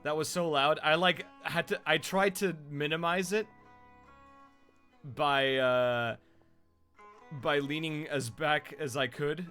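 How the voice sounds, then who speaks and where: A man who is a voice actor and streamer, chatting casually and loudly on his live stream with lots of fillers. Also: a little high, fairly even intonation, takes it slowly, pauses often, smooth, faint music behind. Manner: loud, chatty, rambling with fillers.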